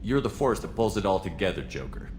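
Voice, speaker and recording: An actor playing a resolute military commander in a video game, even-tempered and plain-spoken. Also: male voice, low-pitched, fairly quick. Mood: calm. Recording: noisy game ambience.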